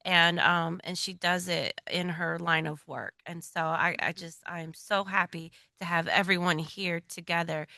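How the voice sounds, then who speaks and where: A woman's voice: lowish voice, fairly even intonation, normal speed, vocal fry, few pauses, pushed and bright, no echo, studio quality. A woman co-hosting a talk radio show, chatting casually and laughing between phrases. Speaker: radio co-host.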